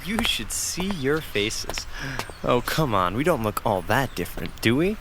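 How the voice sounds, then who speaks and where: Man playing a teasing, animated young man. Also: mid-range voice, measured pace, noisy background. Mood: amused, teasing. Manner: wry, playful banter. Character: teasing, dry-witted, cocky young man. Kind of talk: audio drama acting.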